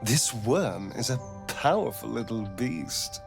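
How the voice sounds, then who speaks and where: Flamboyant, theatrical vampire over music. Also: male voice, mid-range voice, sing-song, takes it slowly, vocal fry, exaggerated voice. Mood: smug, purring. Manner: arch, dramatic, drawling emphasis. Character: flamboyant, sardonic male vampire spawn, haunted by his master. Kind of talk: theatrical video game voice acting. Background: background music.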